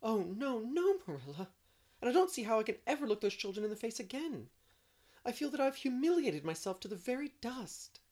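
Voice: medium pitch, sing-song, a little fast, darkish tone, voice pushed up. A narrator voicing an emotional young woman teacher who sobs and pleads over her shame. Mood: distressed. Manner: sobbing, pleading, heightened.

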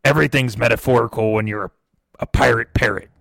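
A man's voice: medium pitch, fast talker, vocal fry, a bit bright, dry recording. A man voicing a mischievous, biting male demon pirate parrot in an improvised comedy bit, growling pirate "yarg"s. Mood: spooky teasing.

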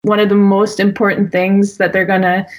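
A woman's voice: medium pitch, level tone, normal speed. A young woman guest talking casually about her work as a wedding photographer, with lots of fillers and restarts. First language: Dutch. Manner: talkative, full of fillers and restarts. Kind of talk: casual interview conversation.

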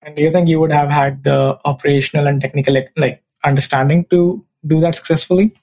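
A man's voice: a little high, steady tone, brisk, vocal fry, a little mellow. A man hosting a podcast, asking prepared interview questions. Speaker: podcast host.

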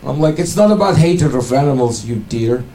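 A stand-up comedian from Ukraine with a Russian accent, in his forties, performing a halting, deadpan set in a comedy club. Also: mid-range voice. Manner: deadpan, halting, with many fillers. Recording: echoey club, loud room noise.

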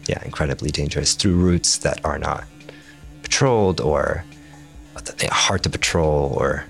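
A man's voice: a bass voice, measured pace, gravelly, a bit bright, faint music behind. A young man born in Jordan who grew up speaking Arabic, a Spanish teacher answering interview questions thoughtfully and restarting his words often.

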